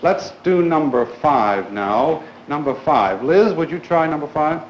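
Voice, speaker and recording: A man teaching a class in a formal, polite, deliberate way, putting questions to his pupils. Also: on the high side, expressive. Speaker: schoolteacher leading a grammar class. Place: indoors in a school classroom. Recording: echoey classroom recording with clear background noise.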